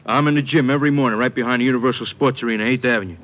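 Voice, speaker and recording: A man's voice: medium pitch, natural voice. Man acting a big simple wrestler, muffled old radio. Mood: eager. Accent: American. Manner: plain, slow-witted sincerity. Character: huge, simple, earnest male wrestler, loyal friend. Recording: muffled, noisy vintage radio recording.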